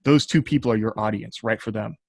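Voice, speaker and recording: A man's voice: fairly low pitch, brisk, vocal fry, darkish tone, no echo, clean studio sound. A man talking casually on a writers' panel, giving advice in an earnest, encouraging way. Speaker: science fiction writer on a podcast panel.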